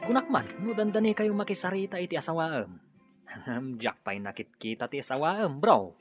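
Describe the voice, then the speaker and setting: A man's voice: fairly high pitch, animated, moderate pace, highs cut off, over music, lightly acted. An actor playing a teasing, laid-back male buddy in a radio drama, full of banter.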